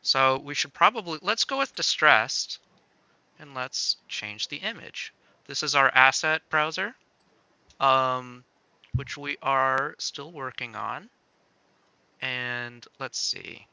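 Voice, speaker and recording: Guy demoing game dev software, super bright clean voice, slow-ish, thinking out loud step by step. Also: mid-range voice, clear, resonant tone, no room sound. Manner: explains step by step, thinking aloud. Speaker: game developer demonstrating a tool. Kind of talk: casual software demo with joking chat.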